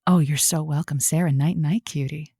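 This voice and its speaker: A woman's voice: sits low, steady tone, moderate pace, creaky, warm tone, husky, dry recording, heavily acted. A woman playing an eager, soothing radio advice host on a comedy call-in show, theatrical and indulgent, sometimes hesitant. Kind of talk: improvised comic advice show.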